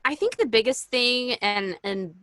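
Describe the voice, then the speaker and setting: A woman's voice: a little high, animated, measured pace, fairly bright tone, no echo. A woman co-hosting a podcast, chatting casually in a playful, self-deprecating way. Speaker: podcast co-host. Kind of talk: casual chat.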